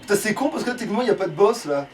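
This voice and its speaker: A man with a fairly high voice talks his way through a video game in loose, sweary everyday speech. He thinks aloud as he goes. The mic sits a little far off, and there is faint noise behind him. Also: expressive, rapid-fire, a little mellow. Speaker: let's play gamer playing the game. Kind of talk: casual gaming chat, commentary while playing.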